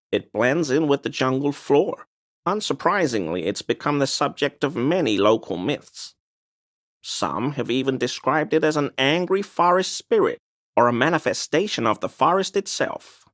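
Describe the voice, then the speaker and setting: Game role: fussy, defensive male cryptid obsessive; pedantic and wordy, lively swooping intonation, close dry sound. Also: mid-range voice, no echo. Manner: pedantic, wordy, evasive, prone to grumbling. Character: fussy defensive man, amateur cryptid enthusiast and conspiracy believer. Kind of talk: video game character dialogue.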